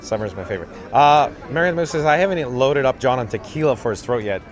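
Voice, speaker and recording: A man with a raspy voice hosting a casual livestream outdoors, reading chat aloud in a dry, self-mocking monotone while holding his camera rig. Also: medium pitch, fast. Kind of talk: casual live-stream chatter. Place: outdoors on a parade route. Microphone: camera rig held by the speaker. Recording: outdoor crowd with music behind.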